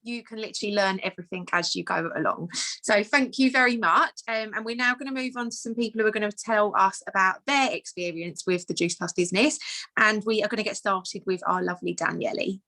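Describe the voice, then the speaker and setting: A woman's voice: mid-range voice, a little fast, bright, forceful tone. A woman hosting an online business call, upbeat and gushing as she introduces speakers. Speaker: host.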